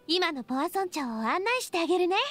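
A woman playing a warm, resolutely upbeat young lady boss in anime game dialogue, candid and reflective. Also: extremely high pitch, lively intonation, brisk. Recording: studio voice with music behind.

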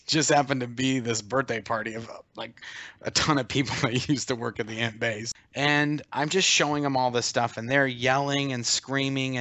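A man in his forties on a video call, casually telling a story in an animated way and acting out what others said. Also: mid-range voice, no echo. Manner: animated, acts out quoted voices, laughs as he tells it. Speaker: interview guest.

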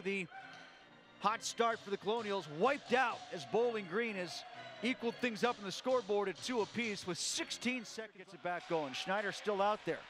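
A man calling a live hockey game play by play, quick calls that jump up on every goal. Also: sits high. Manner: rapid calls that rise sharply on goals. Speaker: play-by-play announcer.

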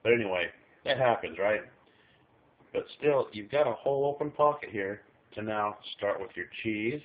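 Middle-aged guy, folksy cooking chat, kinda low voice, sounds like a tinny phone mic. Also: normal speed. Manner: folksy, chatty instructions, talks to the viewer. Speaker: home cook filming a recipe. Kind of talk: casual cooking tutorial. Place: small kitchen while traveling. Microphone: handheld camera filming overhead.

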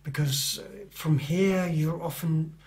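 A man's voice: a little high, slow pace, darkish tone. Middle-aged author guy, english not his first language, lots of ums and restarts, unsure delivery. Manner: hesitant, many fillers and restarts. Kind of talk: interview answers.

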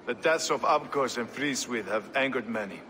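A man's voice: mid-range voice, moderate pace, bright, forceful tone, natural voice. A man playing a wry, hard-bitten, plain-spoken medieval soldier in a video game cutscene. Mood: grave. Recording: noisy outdoor game ambience.

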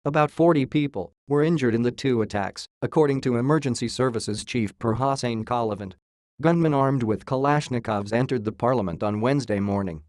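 A man's synthetic voice reading a scripted news report in a flat, read-out manner. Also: medium pitch, no echo, studio quality. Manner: flat synthetic read-out, spells out abbreviations and symbols. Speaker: news reader.

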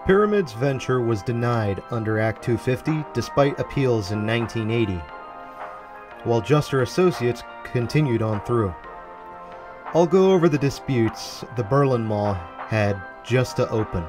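A man's voice: mid-range voice, lively intonation, normal speed. A man delivering scripted, documentary-style narration for a walkthrough video. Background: background music.

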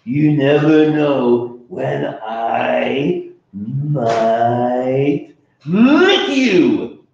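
A man's voice: medium pitch, lively intonation, very slow, creaky, a little mellow, very echoey, muffled recording, slight background noise, heavily acted. A man voicing Mac, a big, scruffy, slobbering male monster who slurps and whines, in a storybook. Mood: whiny. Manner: slurping, whiny.

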